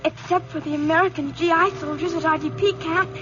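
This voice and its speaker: A woman's voice: extremely high pitch, steady tone, a little fast, music in the background. A woman playing an anxious, earnest ten-year-old refugee girl in an old radio drama. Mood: frightened. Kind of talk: 1940s radio acting. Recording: noisy, muffled old radio recording.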